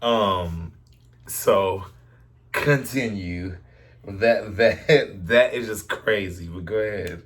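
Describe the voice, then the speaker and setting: A man's voice: lowish voice, drawn-out words. A man chatting casually and chiming in on a story, with a blunt, slangy way of talking. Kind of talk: casual chat, interjecting.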